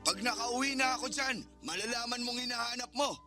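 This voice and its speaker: A man's voice: very high-pitched, fairly quick, over music. A man playing an indignant, scolding father in a radio drama. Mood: angry, threatening. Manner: confrontational, indignant. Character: angry, scolding father calling from abroad.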